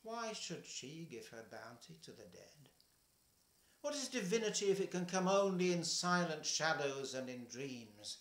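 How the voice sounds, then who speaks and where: An older man reading a poem aloud at home, slow and measured, his voice falling soft at the ends of lines. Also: fairly high pitch, big pitch swings, roomy sound. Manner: slow, measured, trailing softly at line ends. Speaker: poetry reader. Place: home kitchen, indoors.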